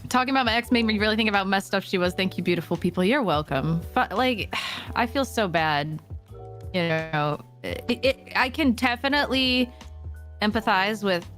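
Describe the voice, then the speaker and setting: Female guest co-host, mid-pitched, bubbly, giggly, loose and profane. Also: lively intonation, moderate pace, a bit bright, faint music behind. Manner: bubbly, laughs a lot, swears casually. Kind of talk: casual stream chat.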